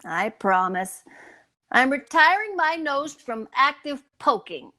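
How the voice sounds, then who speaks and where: A woman plays a young, meddling housewife in an old-time radio sitcom. Her voice is high and bright, and she draws her words out in a cute, playful, emphatic way. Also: very animated, slow pace, full character voice. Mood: coaxing, affectionate. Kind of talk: re-created 1940s radio sitcom acting.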